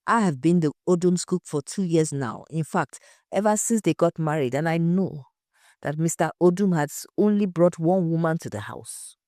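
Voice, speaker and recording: Woman acting as tubby male cook, frank, assured, gossipy, mellow tone, audio story. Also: low-pitched, moderate pace, no room sound, clean studio sound, lightly acted. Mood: confiding.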